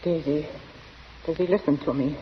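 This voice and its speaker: A woman's voice: low voice, fairly even intonation, normal speed, close to an everyday voice. An actress playing an anxious, deferential middle-aged landlady in 1940s radio style, hesitant and apologetic. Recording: muffled, noisy 1940 radio recording.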